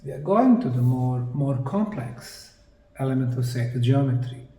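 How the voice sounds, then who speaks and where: A man with a Bosnian accent giving an explanatory presentation, speaking emphatically in short, dramatic phrases with pauses. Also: medium pitch, expressive, mellow. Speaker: presenter, researcher. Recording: echoey room, distant microphone.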